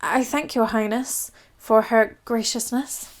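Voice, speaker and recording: Storybook royal painter, male, creepy toady, drawn-out flattery female reader performing. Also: on the high side. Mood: fawning.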